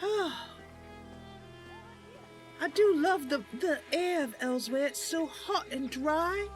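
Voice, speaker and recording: Woman voicing a high, lively young elf girl knight. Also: slow, soft background music. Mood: wistful, upbeat. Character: young female wood elf knight, earnest, chatty adventurer. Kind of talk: roleplay of a fantasy video game character.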